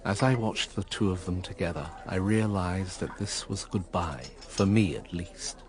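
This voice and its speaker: An actor playing a brooding, wistful man who narrates a radio drama in a measured, reflective way. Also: low voice. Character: brooding, wistful male narrator looking back on lost love.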